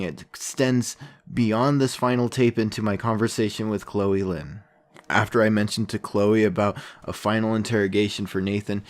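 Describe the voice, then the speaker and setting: A man's voice: fairly low pitch, lightly acted. A man playing a sympathetic investigator narrating a fictional true-crime podcast in a sombre, reflective manner.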